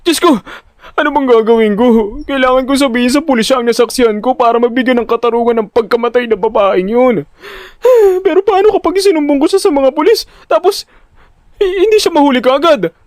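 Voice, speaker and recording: A man with a Filipino accent playing a jittery, vain but good-natured young everyman who tells his own story in an audio drama, expressive and dramatic. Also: very high voice, a little fast, no room sound. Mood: panicked. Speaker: narrator and lead actor. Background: music.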